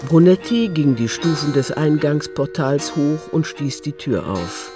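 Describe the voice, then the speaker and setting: A man's voice: fairly high pitch, lively intonation, measured pace. A man reading scripted literary narration as the narrator of a crime story. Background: music.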